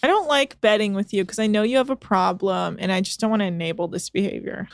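A woman's voice: mid-range voice, fast, vocal fry, dry recording, studio quality. A woman co-hosting a podcast, recapping an episode in casual, sarcastic chat and swearing freely. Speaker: podcast co-host.

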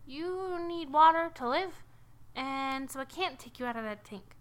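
A woman's voice: high voice, lively intonation, unhurried, a little hiss or hum, pitched above the performer's own voice. A woman playing a polite, skeptical young girl in an improvised story, hesitant and questioning. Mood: wary, skeptical. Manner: hesitant, questioning, matter-of-fact.

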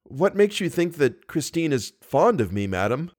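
An earnest young nobleman, confused and halting, his voice set a little higher, as a male narrator plays him and moving freely in pitch. He sounds like a figure in a storybook reading. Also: big pitch swings, darkish tone, slightly rough. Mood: bewildered.

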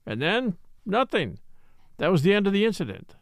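A woman voicing a bitter, sardonic English gentleman with a clipped, dry, mocking manner, in a storybook reading. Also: medium pitch, very animated, moderate pace, no room sound, voice pushed up.

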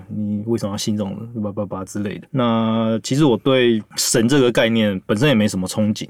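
A man's voice: fairly low pitch, a little mellow, no echo, clean studio sound. A man in his thirties with a Taiwanese Mandarin accent, talking casually and reflectively in an earnest, candid way, explaining at length.

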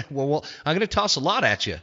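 A man hosting a podcast from a studio, friendly and casual, often halting with fillers. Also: fairly high pitch, very animated, sharp tone, dull audio. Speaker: podcast host.

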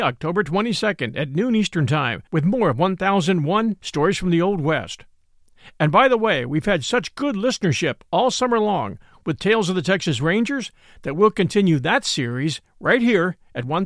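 A man's voice: high-pitched, a bit bright, dry recording, clean studio sound. A man hosting a podcast, reading introductions and announcements. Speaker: podcast host.

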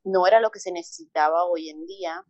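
Brief: Colombian coastal woman, fairly low voice, expressive storyteller, dull dry recording. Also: a little fast, no room sound. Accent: Colombian Caribbean coast. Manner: expressive, repeats words for emphasis. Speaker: guest, holistic psychologist. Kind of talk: relaxed conversational storytelling.

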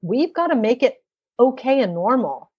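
A woman's voice: a little high, very animated, moderate pace, slightly rough, no echo, muffled recording. An American woman giving explanatory, emphatic interview answers as a policy expert, telling anecdotes and posing rhetorical questions. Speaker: interview guest, former government policy expert.

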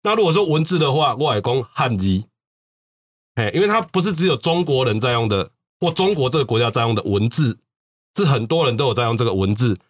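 A man's voice: mid-range voice, animated, fairly quick. A man with a Taiwanese accent explaining things casually as a talk-show host, often repeating words for emphasis. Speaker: podcast host.

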